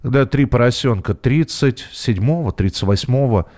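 A man with an average-pitched voice hosts a casual live phone-in show. He speaks informally and hesitantly, working out his thoughts as he goes. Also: moderate pace, darkish tone. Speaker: radio host.

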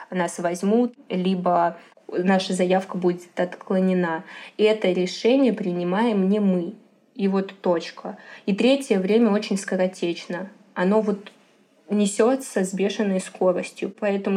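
A woman's voice: mid-range voice, dark tone. A woman in her twenties, a podcast guest, giving earnest and emphatic motivating advice. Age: 20s.

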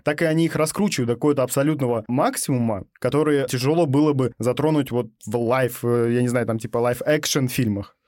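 A man's voice: medium pitch, darkish tone, no echo, studio quality. A young man hosting a podcast, speaking in a casual discussion style. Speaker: podcast host.

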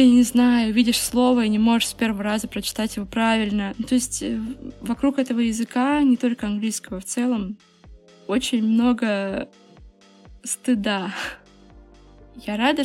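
Young female podcast host, solo confessional talk, higher voice, faint music bed. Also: fairly even intonation, moderate pace, darkish tone. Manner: candid, restarts often, nervous laughs. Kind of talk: personal podcast monologue.

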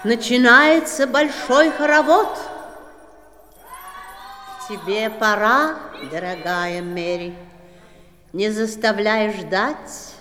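An actress playing a regal, solemn cobra queen of the jungle in a 1960s audio play, with stately drawn-out phrasing. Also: fairly high pitch, pushed and bright, heavily acted. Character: regal old female cobra, ruler of the jungle, gracious and solemn. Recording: echoey reverberant 1960s studio recording.

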